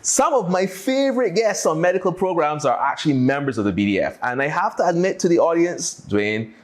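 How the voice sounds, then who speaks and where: A man's voice: a little high, sing-song, fairly quick. A Barbadian man hosting a health podcast, chatty and playful with puns. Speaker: podcast host. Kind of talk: casual health podcast chat.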